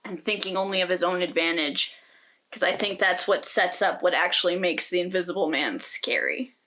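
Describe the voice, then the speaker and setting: A woman's voice: on the low side, normal speed, bright, forceful tone, slight background noise, poor audio. A woman co-hosting a casual, conversational discussion about books. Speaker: podcast co-host.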